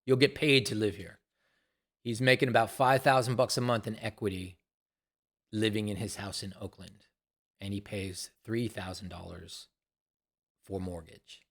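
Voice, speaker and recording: A man's voice: lowish voice, steady tone, moderate pace, gravelly, long pauses, a bit bright. A man in his sixties talks to viewers in a loose, rambling monologue, repeating phrases and swearing casually. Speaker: vlogger.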